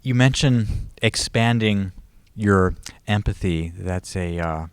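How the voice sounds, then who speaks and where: A man conducts a conversational interview. His voice sits a little low, and he feels his way through questions in loose, rambling phrases. The recording is dry, with little room sound. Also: takes it slowly, clean tone, dry recording. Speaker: interview host.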